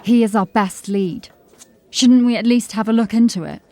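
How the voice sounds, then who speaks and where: A woman playing a diligent, drily witty police constable in a fantasy audio drama, articulate and measured. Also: on the high side, mellow, natural voice. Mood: questioning.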